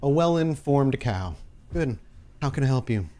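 A composed, haughty vampire boss played by a man in a tabletop game. He speaks in a middling pitch with formal, condescending politeness. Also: animated, moderate pace, mellow, close to an everyday voice. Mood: smug, composed.

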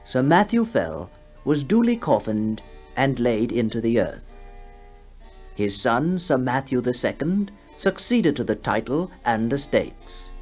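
A man narrating a story in a measured, formal way for a 1960s radio drama. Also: mid-range voice, sing-song, darkish tone. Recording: 1960s radio recording with music.

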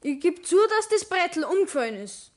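High-voiced sulky teenage apprentice, played by a young man. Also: sing-song, a little fast. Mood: sulky, defensive. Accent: Bavarian. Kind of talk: 1960s German radio play acting.

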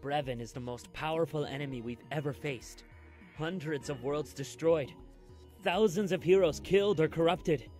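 An earnest alien soldier from a video game, acted by a man speaks in a fairly high male voice. He is resolute and emphatic, with faint music underneath. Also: normal speed, a little mellow, lightly acted. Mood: grave warning. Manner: serious, emphatic. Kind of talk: video game cutscene acting.

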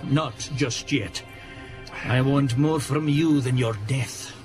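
An actor playing a cold, gloating villain in a radio drama, deliberate and taunting. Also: male voice, medium pitch, a little mellow, soft background music, natural voice. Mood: cold menace. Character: menacing, gloating impostor villain, cold and cryptic.

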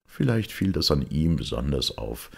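A man reading a detective story aloud as an audiobook narrator, measured and expressive, with a gravelly, husky voice. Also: rumbling low. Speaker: audiobook reader.

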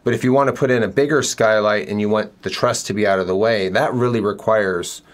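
A middle-aged man with a lowish voice hosts a relaxed interview from an office room. He talks conversationally, probing with questions and circling back with fillers and restarts. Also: brisk. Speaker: builder and show host.

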